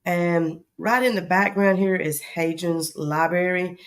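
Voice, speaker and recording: A woman's voice: fairly low pitch, steady tone, unhurried. A woman with a Southern accent hosting a travel vlog in casual chat, her voice scratchy from allergies and her talk rambling with frequent ums. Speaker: travel vlogger host.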